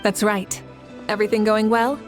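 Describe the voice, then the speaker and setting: A woman's voice: medium pitch, level tone, moderate pace, faint music behind, close to an everyday voice. An actress playing a composed, encouraging young female leader with a lightly playful side in video game dialogue.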